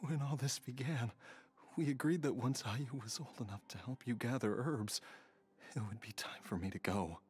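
An actor playing a dying middle-aged husband and father, speaking softly and with quiet resignation. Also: mid-range voice, moderate pace, natural voice. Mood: tender. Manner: soft, resigned, wistful.

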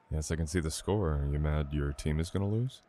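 A man playing a sweet, teasing boyfriend in an intimate ASMR audio roleplay, coaxing softly and unhurriedly. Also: a bass voice, a little hiss or hum. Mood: playful teasing.

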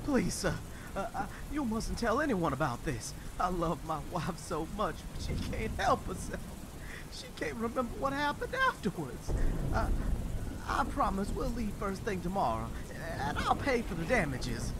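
An actor playing a frantic, pleading husband in an audio drama, agitated and prone to stammering. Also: male voice, high voice, huge pitch swings, normal speed. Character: frantic, pleading husband devoted to his wife. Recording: rainy storm noise behind.

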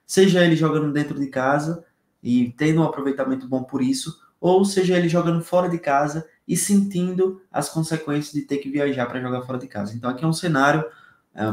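A man's voice: on the high side, darkish tone. Upbeat young man in an echoey room. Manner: chatty, upbeat, addresses viewers directly. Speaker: sports betting tipster. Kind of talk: casual betting-tips video. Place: small home room, indoors.

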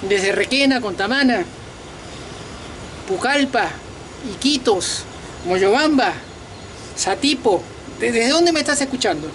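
A man in his fifties from Peru, talking straight to the camera outdoors at night in a casual, slangy, animated monologue. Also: extremely high pitch, slow pace, long pauses, slight background noise, low-quality recording. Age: 50s. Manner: animated, talks to viewers directly with slangy asides. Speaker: vlogger and self-declared political candidate. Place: outdoors at night on a balcony over a lit city.

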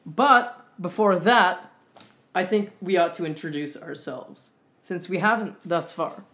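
A man's voice: high-pitched, a little slow, bright, forceful tone. Earnest young Canadian man presenting. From Winnipeg, Canada. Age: young adult. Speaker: co-host. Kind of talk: semi-scripted explainer for a talk show. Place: room at home with whiteboard and fridge, indoors.

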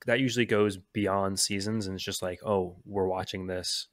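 A man's voice: low voice, fairly even intonation, normal speed, no room sound. A man appearing as a returning guest on a podcast over a video call, rambling casually and restarting his sentences. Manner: rambling, restarts a lot, says like often. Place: home room. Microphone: studio mic on stand, video call.

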